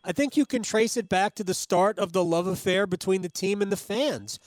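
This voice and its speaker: A man hosts a conversational sports show in a high-pitched, smooth voice. He is eager and opinionated, rambling a little as he recalls things. The sound is close and dry, with no room echo. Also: fairly quick.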